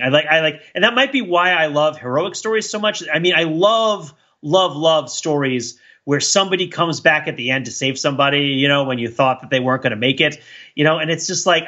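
A middle-aged man co-hosting a podcast, casually talking about pop culture in a rambling, self-reflective, animated way. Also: on the high side, highs cut off. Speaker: podcast co-host.

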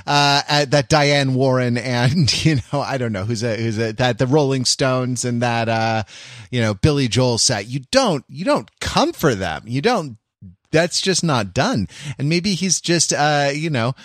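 A man hosting a casual discussion podcast, talking in a halting, wry way with touches of mock formality. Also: medium pitch, no room sound, studio quality. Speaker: podcast host. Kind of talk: casual chat, moderating a discussion.

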